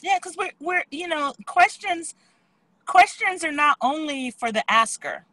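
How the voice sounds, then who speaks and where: A woman with a bright, crisp voice co-hosts an online discussion. The sound is dry and close, with no room echo. She explains in quick bursts and encourages the group to ask questions. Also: high-pitched, animated. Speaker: co-host of a discussion salon. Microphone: online video call.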